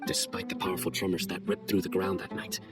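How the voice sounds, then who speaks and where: A stern young male investigator from a video game, acted by a man, with a low voice and formal, deliberate phrasing. He is guarded and measured, choosing his words with care. Music plays clearly behind him. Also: flat delivery, natural voice. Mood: solemn, storytelling. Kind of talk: scripted video game dialogue.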